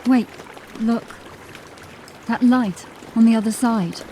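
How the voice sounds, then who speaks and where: An actress playing a watchful, serious scout in a fantasy audio drama, speaking with uneasy wonder. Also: female voice, on the high side, slow, warm tone, a little hiss or hum. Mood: wary. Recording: music under the voice.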